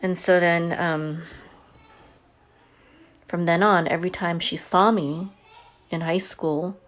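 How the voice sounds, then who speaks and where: Low-voiced woman telling a personal story. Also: steady tone, slow pace. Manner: chatty and earnest, says she has a big voice. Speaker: homeschooling mother. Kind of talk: casual storytelling to camera.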